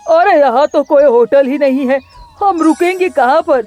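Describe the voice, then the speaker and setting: A woman's voice: high voice, normal speed, a little mellow, faint music behind, natural voice. A woman voicing a courteous, earnest visiting bird, a weary traveller in a cartoon story, on a dull lo-fi recording. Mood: troubled.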